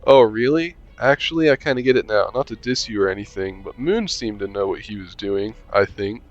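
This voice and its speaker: A man's voice: fairly low pitch, lively intonation, fairly quick, dull audio, soft background music. Casual young man reading a game aloud. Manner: casual, joking asides, hums to himself. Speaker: gaming channel host. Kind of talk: reading a visual novel aloud with commentary.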